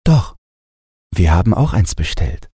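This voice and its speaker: Man acting a husky, good-natured doctor. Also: low-pitched, big pitch swings, a little slow, warm tone, no echo, clean studio sound, voice pushed up, exaggerated voice. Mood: firm. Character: good-natured, teasing male doctor and new father.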